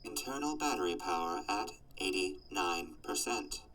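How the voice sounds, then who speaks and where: A man's voice: low voice, lively intonation, normal speed, a bit bright, poor audio. A man voicing an obedient, emotionless robot companion in an audio drama, speaking in clipped, formal machine phrases. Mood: flat, obedient. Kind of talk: post-apocalyptic audio drama.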